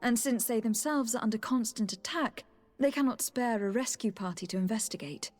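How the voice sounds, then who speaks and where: An actress playing a loyal young noble envoy in a video game, measured, formal and polite. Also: female voice, a little high, darkish tone, natural voice. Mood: composed, reporting. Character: composed, diplomatic young noblewoman, loyal envoy to her cousin. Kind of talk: video game cutscene acting.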